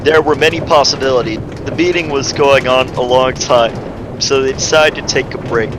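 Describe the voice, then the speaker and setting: A man's voice: medium pitch, normal speed. A man giving an amateur dramatic reading of a story, stumbling over the text and breaking off into casual, exasperated asides. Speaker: reader of a fan fiction story. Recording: faint background noise.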